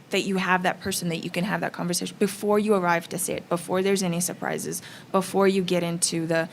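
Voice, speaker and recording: A woman's voice: lowish voice, gravelly. A woman panelist speaking conversationally in a casual discussion, slipping into imagined dialogue as she explains. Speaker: panelist, actress. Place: festival roundtable, live event. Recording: noisy room ambience.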